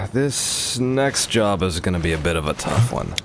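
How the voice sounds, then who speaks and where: A man's voice: sits low, lively intonation, takes it slowly, exaggerated voice. A man acting in a radio play as a weary, reluctant gang member, speaking hesitantly and conversationally. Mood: tired, apologetic. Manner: hesitant, apologetic, throat-clearing, conversational. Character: weary, reluctant crime-gang family man giving orders. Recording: clear ambient noise, ticking clock.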